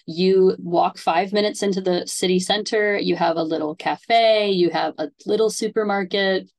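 A woman's voice: medium pitch, steady tone, normal speed, darkish tone. A woman hosting a conversational interview, speaking informally and explaining things. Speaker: interview host.

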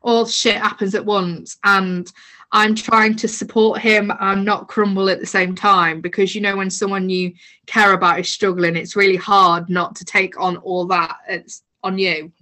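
A woman's voice: medium pitch, level tone, unhurried, sharp tone. A woman co-hosting a casual podcast chat, frank and laughing things off. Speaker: podcast co-host.

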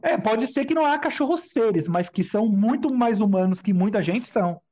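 A man co-hosting a casual comedy chat in a relaxed, wry way. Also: high-pitched, normal speed, muffled recording, background noise. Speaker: co-host.

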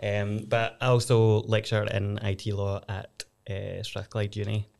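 A man's voice: fairly low pitch, flat delivery, a little slow, vocal fry, a little mellow. A man from Glasgow with a Glaswegian accent, a lawyer guest explaining things in casual chat. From Glasgow, Scotland.

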